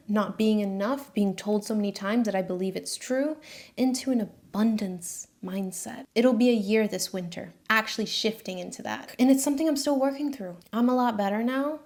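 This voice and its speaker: A woman's voice: mid-range voice, darkish tone. Chatty American woman in her twenties. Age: 20s. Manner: chatty and candid, slangy asides. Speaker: freelance designer. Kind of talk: casual talking-head vlog.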